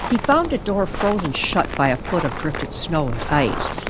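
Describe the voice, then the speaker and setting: A woman's voice: deep voice, sing-song, measured pace, heavy background noise. A woman narrating a scripted eerie story in an old-time radio style.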